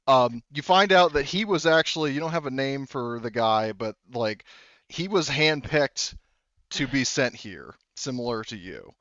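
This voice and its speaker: A man with a clean, resonant voice at a middling pitch acts as game master for a tabletop game. He explains in stops and starts, circling back to reword himself. The sound is very dry and a little dull. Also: animated, fairly bright tone, dry recording. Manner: halting, repeats and rephrases mid-sentence. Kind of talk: explaining plot while running a tabletop roleplaying game.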